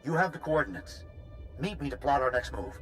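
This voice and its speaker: A man voicing an urgent leader organizing an evacuation in a sci-fi game, with a brisk, commanding manner. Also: mid-range voice, very animated, soft background music, close to an everyday voice. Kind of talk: scripted game dialogue.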